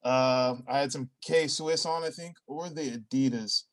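A man improvising a hapless, rambling stenographer job applicant in a comedy sketch, halting and sheepishly self-justifying. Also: medium pitch, lightly acted. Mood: rambling, earnest.

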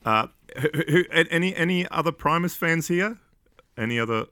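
A man's voice: fairly high pitch, expressive, normal speed, sharp tone, dry recording, clean studio sound. A man in his forties from Austria, a podcast guest chatting casually and hesitantly, full of fillers and restarts. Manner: hesitant, many fillers and restarts, laughs often. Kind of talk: casual chat.

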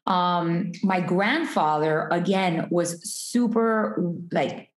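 A woman's voice: lowish voice, drawn-out words. A woman from Miami, a guest in an interview, chatting about her life with frequent ums, restarts and easy laughter. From Miami, USA. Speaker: guest, artist and entrepreneur. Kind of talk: casual interview conversation. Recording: roomy echoey sound.

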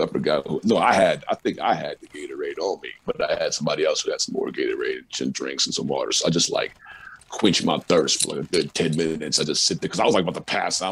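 Deep gravelly man telling stories loosely. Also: slight background noise. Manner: loose, profane, animated storytelling. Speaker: musician guest. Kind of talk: casual storytelling interview.

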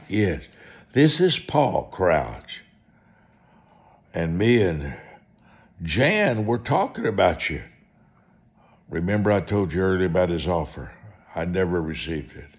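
A man preaching in a personal testimony style, folksy and deliberate, repeating phrases for emphasis. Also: low voice. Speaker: preacher, evangelist.

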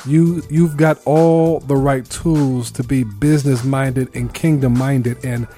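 A man's voice: medium pitch, fairly even intonation, takes it slowly, warm tone, soft background music. A man from Brooklyn with an American accent, hosting a conversational interview in an encouraging, complimentary way. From Brooklyn, New York. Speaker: show host interviewing a guest.

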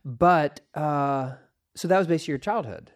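A woman's voice: low-pitched, moderate pace. A woman hosting a podcast interview, chatting casually and joking freely with her guest. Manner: playful, joking, loose and chatty. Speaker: podcast host. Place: studio with headphones.

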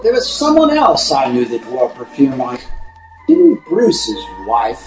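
Animated man as a stuffy older husband. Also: mid-range voice, unhurried, reverberant, soft background music. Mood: suspicious, coy. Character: stuffy, oblivious older husband and film producer. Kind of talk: improvised old-time radio drama parody.

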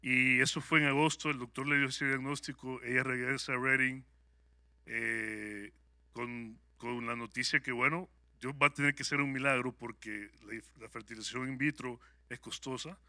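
Bright-voiced Salvadoran man giving testimony. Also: medium pitch, fairly even intonation, moderate pace, dry recording. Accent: Salvadoran Spanish. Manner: conversational and upbeat, with frequent hesitant fillers. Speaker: guest speaker at a church service. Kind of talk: personal testimony to a congregation.

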